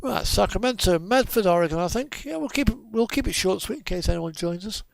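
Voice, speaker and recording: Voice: medium pitch, lively intonation, fairly quick, creaky, no echo, clean studio sound. A game streamer giving casual live commentary, thinking aloud and muttering through options.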